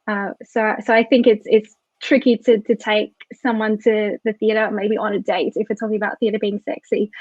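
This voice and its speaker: A woman's voice: mid-range voice, a little mellow, no echo. A woman from Tasmania, a playwright, chatting and joking on an online panel, thinking aloud with restarts. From Tasmania, Australia. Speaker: playwright and theatre maker. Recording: dull, lo-fi, dry video call audio.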